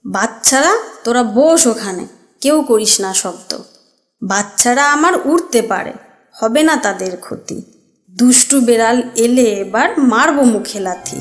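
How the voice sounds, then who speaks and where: A woman voicing a small, protective mother bird in a children's cartoon story, with a sing-song, drawn-out delivery. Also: on the high side, lively intonation. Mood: defiant. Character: small protective mother tailorbird, sweet-talking and defiant. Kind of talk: children's cartoon story dialogue in rhyme and speech.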